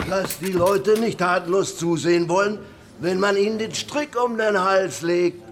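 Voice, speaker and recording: An actor in a radio drama playing a militant, defiant rebel with a heated, challenging manner. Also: male voice, sits high, normal speed.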